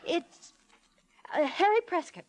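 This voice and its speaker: A woman's voice: very high-pitched, animated, slow, a little mellow, exaggerated voice. An actress playing a nervous, evasive young woman who hesitates and bristles, in an old radio detective drama. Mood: evasive, indignant. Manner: hesitant, stammering, indignant when pressed. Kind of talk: 1940s radio drama acting.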